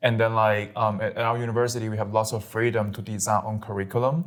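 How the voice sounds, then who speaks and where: A man's voice: lowish voice, little pitch movement. Upbeat young man from Singapore. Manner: quick, upbeat, lots of "like". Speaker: guest. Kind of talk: casual interview.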